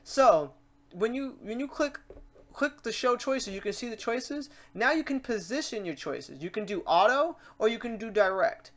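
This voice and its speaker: A woman hosting a casual software tutorial, talking informally and reassuringly to the viewer in an animated way. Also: a little high, moderate pace. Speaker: tutorial host.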